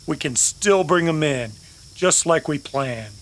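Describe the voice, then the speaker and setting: A man's voice: on the high side, animated, slow, slight background noise, poor audio. A man playing a principled cowboy hero in an old-time western radio drama, earnest, urgent and emphatic. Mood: urgent pleading.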